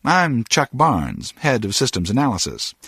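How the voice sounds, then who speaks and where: Earnest male game scientist, husky mid-range voice, formal, self-satisfied, wildly varied intonation. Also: mid-range voice, huge pitch swings, measured pace, fairly bright tone, dry recording, studio quality, heavily acted. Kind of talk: video game dialogue.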